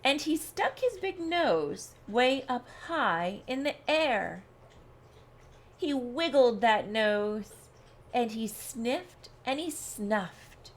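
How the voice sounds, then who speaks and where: A woman storyteller reading a children's book aloud in a deliberate, expressive way. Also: on the high side. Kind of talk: children's read-along narration.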